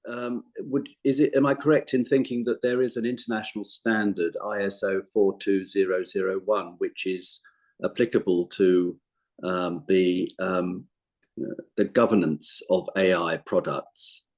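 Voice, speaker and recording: A man with a fairly low voice moderates an online panel. He is courteous and formal, feeling his way through his questions with hesitant phrasing. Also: steady tone, a little slow, a little mellow.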